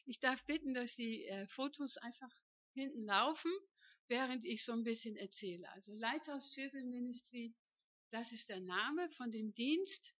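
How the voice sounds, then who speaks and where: A woman's voice: a little high, lively intonation, moderate pace, fairly bright tone, no room sound, dull audio. A woman in her fifties who grew up in northern Germany, a missionary giving a chatty personal testimony to a church congregation and often checking that the room agrees. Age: 50s. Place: church hall.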